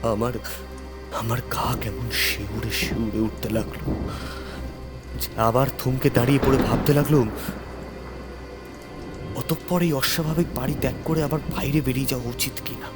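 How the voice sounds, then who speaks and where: A man tells a horror story as its narrator. His voice sits at a medium pitch and his delivery is theatrical and full of suspense. Music plays clearly behind him. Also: steady tone, normal speed, long pauses. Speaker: storyteller, first-person narrator. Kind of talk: dramatic scripted horror narration. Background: music under the voice.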